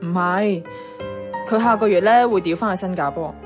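Music plays clearly behind a young woman played by an actress with a Hong Kong Cantonese accent and a mid-range voice. She is a bossy career woman in a radio drama. Her speech is blunt and colloquial. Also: medium pitch, normal speed, lightly acted. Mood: reflective, unsure.

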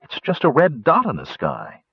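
A man's voice: medium pitch, huge pitch swings, moderate pace, vocal fry, slightly rough, no room sound, highs cut off, pitched above the performer's own voice, exaggerated voice. A man voicing a bold, brusque young woman warrior who talks bluntly and teasingly.

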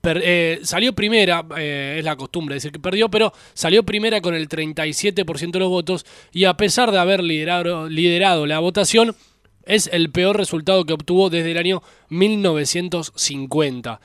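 Dude reading out the news on a talk show, higher voice, crisp and dry. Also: male voice, normal speed, fairly bright tone, dry recording. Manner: reporting, a bit hesitant. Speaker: radio host. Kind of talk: news presenting chat.

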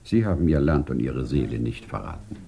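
An actor playing a compassionate, grave older man who gives measured, solemn, gentle counsel in a 1950s German radio play. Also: extremely low pitch, animated, creaky, heavily acted. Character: compassionate, grave older man, long-time emigrant offering moral counsel.

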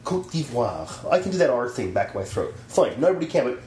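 A man's voice: mid-range voice, big pitch swings, brisk, a little mellow. A man in casual chat, ranting with lots of energy and swearing freely. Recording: roomy echo, faint hum.

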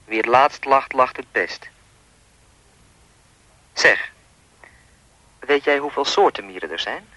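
A man's voice: medium pitch, expressive, takes it slowly, pauses often, pushed and bright, dry recording, poor audio. An actor playing a creepy, insistent anonymous caller in a radio play, needling and insinuating as he speaks. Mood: taunting, smug.

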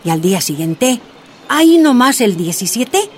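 A woman's voice: a little high, sing-song, a little slow, a little mellow. An actress playing an exiled woman activist in a radio drama, telling her story plainly. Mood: recounting.

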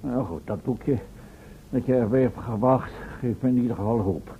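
A man's voice: moderate pace, lightly acted. A man playing an impatient, worried professor and inventor in a Dutch radio play, hesitating and mildly scolding. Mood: weary, determined. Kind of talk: Dutch radio drama acting. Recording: thin phone-like old radio recording, noisy, rumble.

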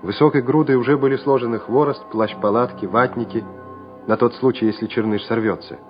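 A man narrating a wartime radio drama in a measured, solemn, scripted way. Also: medium pitch, steady tone, lightly acted. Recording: dull old radio recording with music.

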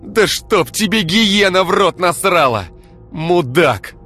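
A man voicing a fierce, sharp-tongued young woman who shrieks when angry. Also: expressive, brisk, faint music behind, close to an everyday voice. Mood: furious. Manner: shrill, shrieking when enraged.

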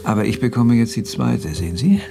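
A man's voice: on the low side, very animated, a little fast, creaky, over music. An actor playing a world-weary, sardonic flight instructor in a naturalistic radio play, laconic, mocking and fatalistic in his talk. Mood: brisk, teasing.

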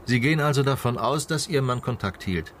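An actor playing a sober investigator, asking measured, formal questions in a naturalistic radio drama. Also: male voice, medium pitch, expressive, slight background noise, natural voice. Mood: probing. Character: male investigator, sober and probing.

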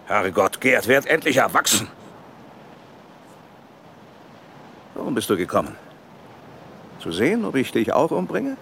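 An actor playing a ruthless, defiant older company boss in a German radio drama, arguing forcefully and with contempt. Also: male voice, medium pitch, big pitch swings, normal speed, vocal fry, slight background noise. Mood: agitated, scornful. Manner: forceful, rhetorical, self-justifying.

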